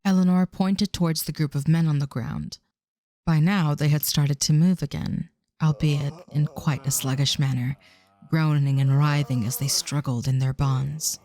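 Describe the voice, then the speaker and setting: Low, mellow-voiced woman narrator. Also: steady tone, normal speed. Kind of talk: scripted audio drama narration.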